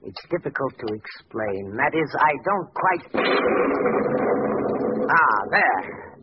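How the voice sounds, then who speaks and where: An actor playing a deranged, grandiose former scientist held as a patient, theatrical and ominous in old-time radio drama style. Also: male voice, on the high side, very animated, slow pace. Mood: eerie, halting. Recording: muffled, noisy vintage radio recording.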